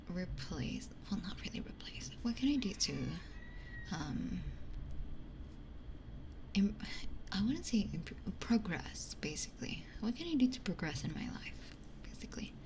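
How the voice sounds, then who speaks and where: A woman streamer whispering in a breathy, conversational ASMR style, talking reflectively close to a binaural microphone. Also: medium pitch, a little mellow. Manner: reflective, conversational, repeats phrases for emphasis. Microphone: close binaural ear microphone.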